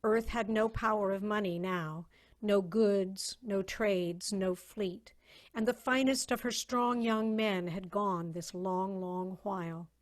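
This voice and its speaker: A woman narrating a story in a solemn, measured, theatrical way, as a dramatic reading over a video call. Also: medium pitch, fairly even intonation.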